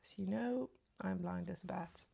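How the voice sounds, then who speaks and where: A woman chatting casually through a makeup tutorial, speaking softly and often half-whispering, with a slight cold and a sore throat. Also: lowish voice, normal speed, vocal fry, husky. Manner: soft, often half-whispered asides while applying makeup. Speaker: beauty vlogger. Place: at home in front of a mirror.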